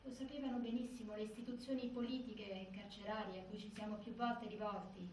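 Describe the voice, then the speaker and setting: Actress as a grieving sister giving a grave measured speech, super echoey, far from the mic. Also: female voice, medium pitch, fairly even intonation, darkish tone, close to an everyday voice. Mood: grave, resolute. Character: grieving, resolute sister of a dead prisoner. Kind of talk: scripted theatrical monologue. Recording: very echoey, distant, faint noise.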